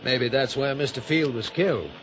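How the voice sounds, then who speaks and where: An actor playing a probing police detective with a falsely casual, insinuating manner in a 1950s radio mystery. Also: male voice, medium pitch, normal speed, lightly acted. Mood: probing suspicion. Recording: dull, noisy old radio recording.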